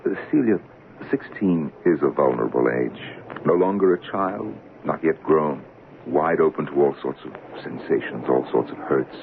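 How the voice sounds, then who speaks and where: An actor playing a calm, protective family friend who speaks in a soothing, measured way and gently insists, in 1970s radio drama style. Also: male voice, very deep voice. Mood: concerned. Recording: tinny, hissy old radio broadcast.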